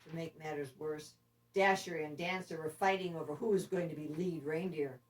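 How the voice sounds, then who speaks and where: A woman narrating a children's story expressively, with deliberate, dramatic phrasing. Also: deep voice, fairly even intonation. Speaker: storybook reader. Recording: distant microphone.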